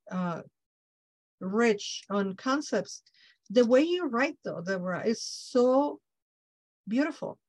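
A woman from Mexico hosting an interview, speaking English as her second language with a Mexican Spanish accent, warmly enthusiastic. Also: mid-range voice, no room sound, poor audio. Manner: effusive, enthusiastic. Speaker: host. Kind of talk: interview chat.

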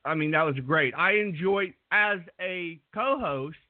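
Guy radio cohost, kinda high voice, rambles with lots of ums, dry thin phone sound. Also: dry recording. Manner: rambling, many fillers, rushes in bursts. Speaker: radio show co-host and producer. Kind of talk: casual radio chat. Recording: dry phone-like narrow band.

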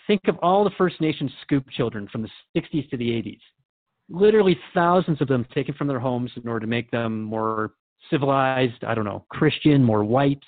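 A man's voice: mid-range voice, dry recording, close to an everyday voice. A man playing an earnest, respectful radio host in a drama, questioning in a conversational, hesitant and probing way. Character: earnest, questioning male radio interviewer, respectful but troubled. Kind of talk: radio drama interview acting. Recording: dull lo-fi, dry audio.

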